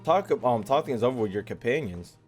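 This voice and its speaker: A man's voice: mid-range voice, expressive, fairly quick, faint music behind. A man giving casual, slangy livestream commentary as he reacts to a game. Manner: slangy, conversational.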